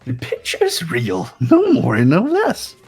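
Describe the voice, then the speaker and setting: A performer voicing a taunting, sadistic robot bear in a fan game playthrough, raspy, drawn-out and theatrical. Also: mid-range voice, wildly swooping pitch, a little mellow, soft background music, exaggerated voice. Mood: gleeful menace. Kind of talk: amateur fully voiced game playthrough.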